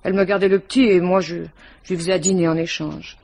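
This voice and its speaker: An actress playing a chatty, flustered widowed waitress in a French radio mystery, rambling, hesitant and deferential. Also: female voice, fairly low pitch, fairly even intonation, fast, darkish tone. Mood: plaintive, fretful.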